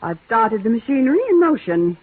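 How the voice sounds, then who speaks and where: Domineering wife on old lo-fi radio. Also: female voice, on the high side, big pitch swings, a little fast, a little hiss or hum. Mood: imperious. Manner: imperious, emphatic, scornful. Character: domineering, grumbling, fastidious wife. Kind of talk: 1950s radio drama acting. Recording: vintage radio, narrow lo-fi band, faint music.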